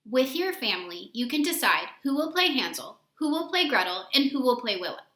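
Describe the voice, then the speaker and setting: Bright-voiced woman reading a storybook aloud. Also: high-pitched, a little fast. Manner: expressive, asks listeners questions. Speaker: read-aloud host for children. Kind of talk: children's storybook read-aloud. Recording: roomy echo.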